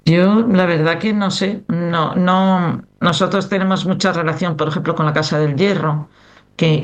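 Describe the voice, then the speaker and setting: A middle-aged woman from Cantabria with a strong Cantabrian accent, a choir member chatting loosely as an interview guest. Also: on the low side. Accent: Cantabrian Spanish. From Cantabria, Spain. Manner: rambling, conversational. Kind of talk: casual interview chat.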